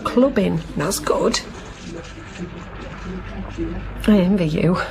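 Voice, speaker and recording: An actress playing a concerned, busy married sister in a radio drama, chatty and coaxing. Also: female voice, on the low side, measured pace, creaky, crowd noise. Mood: weary, friendly. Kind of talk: naturalistic radio drama acting.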